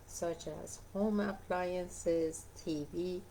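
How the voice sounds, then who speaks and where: A woman with a hoarse voice reads a nonfiction book aloud, pausing often on "uh" and going back to fix her words. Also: on the low side, steady tone, mellow, a little hiss or hum, low-quality recording. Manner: halting reading with frequent uh fillers and self-corrections. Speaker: book reader.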